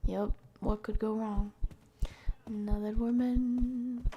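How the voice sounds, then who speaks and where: A woman's voice: a little high, level tone, extremely slow, muffled recording, slight background noise. A woman streamer playing a horror game live, reading dialogue aloud and reacting. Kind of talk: live gameplay commentary.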